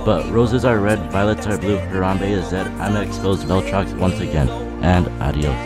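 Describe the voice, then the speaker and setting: A teenage boy vlogging a roast in a flat, monotone, deadpan voice with dry sarcasm. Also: low-pitched, normal speed, loud music behind the voice. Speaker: YouTuber. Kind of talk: casual roast vlog narration.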